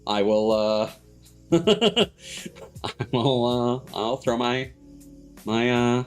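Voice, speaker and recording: A man hosting a livestream in casual chat, rambling and haltingly backtracking mid-sentence. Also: medium pitch, big pitch swings. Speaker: streamer host. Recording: music playing behind the voice. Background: background music.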